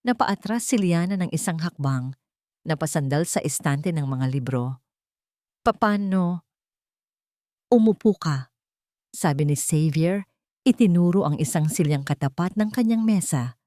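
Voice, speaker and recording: A woman storyteller reading a dramatic love story as scripted narration, in a solemn, measured manner. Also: deep voice, expressive, dark tone, no echo, studio quality.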